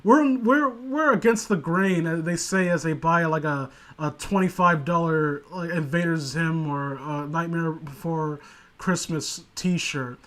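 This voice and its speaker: Male co-host for comedic commentary, higher register, casual, rambling, sarcastic and crude. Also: unhurried, fairly bright tone.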